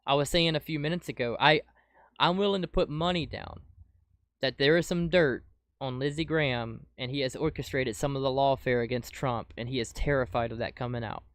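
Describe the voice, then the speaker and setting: Excitable man, dry close mic. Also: medium pitch, a little fast, no echo. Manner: excitable, says "dude" often. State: losing his voice, getting sick. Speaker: podcast host reacting to news. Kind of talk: casual commentary over clips. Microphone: close desk mic.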